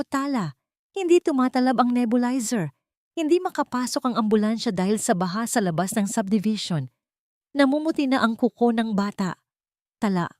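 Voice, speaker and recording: A woman puts on an elderly household cook in an audio drama, desperate and urgent. She pleads in a raised pitch, with a dry, close sound. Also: a little mellow, no room sound, close to an everyday voice.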